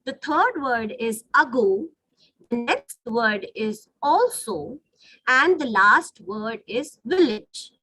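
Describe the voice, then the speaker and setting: Woman, Indian accent, Hindi native, online teacher, slow didactic delivery, bright forceful tone, repeats key points. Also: fairly high pitch, lively intonation, no echo, faint music behind, low-quality recording. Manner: didactic, repeats and rephrases points, checks in with listeners. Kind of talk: online lesson, explaining to students. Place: online video call.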